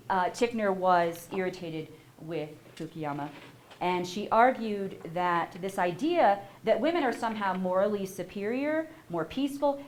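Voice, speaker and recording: A woman giving a university lecture, animated and asking the audience questions as she goes. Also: fairly low pitch, slight background noise. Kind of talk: public lecture. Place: lecture hall.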